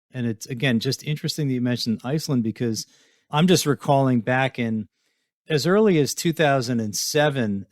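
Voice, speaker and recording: A man's voice: medium pitch, no echo, studio quality. A man hosting an interview podcast, speaking in a conversational, presenterly way. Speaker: podcast host. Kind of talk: scripted introduction and conversational interviewing.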